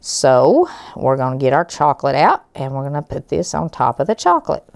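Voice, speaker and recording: A middle-aged woman with a hoarse, gravelly voice from a cold walks viewers through a recipe at her kitchen counter. Her voice sits low and the sound is close and dry. She talks in a homey, folksy, chatty way. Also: darkish tone, dry recording. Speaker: cooking show host. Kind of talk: home cooking demonstration. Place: home kitchen, indoors.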